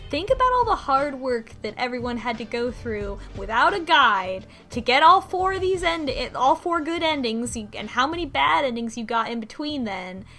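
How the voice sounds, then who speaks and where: A woman's voice: sits high, pushed and bright. A woman chatting casually in a group discussion, analytical and a bit sweary, saying like often. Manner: analytical, profane, uses like often. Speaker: book club podcast panelist. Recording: music playing underneath.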